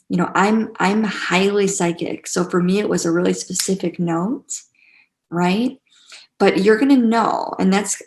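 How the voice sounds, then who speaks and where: A woman teaching a spiritual class online in a casual, gentle and encouraging way, repeating phrases for emphasis, with a creaky voice. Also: on the low side. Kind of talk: casual guided teaching to a live online group.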